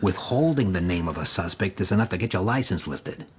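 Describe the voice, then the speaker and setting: A suspicious policeman in a radio detective drama. His lowish voice is blunt and pushy, as if leaning on a suspect. There is clear noise on the recording. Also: animated, fairly quick. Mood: threatening. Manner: blunt, interrogating.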